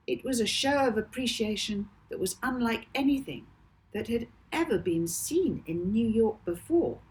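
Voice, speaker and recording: Slow, expressive woman reading a storybook. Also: mid-range voice, rough recording. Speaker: author reading own novel.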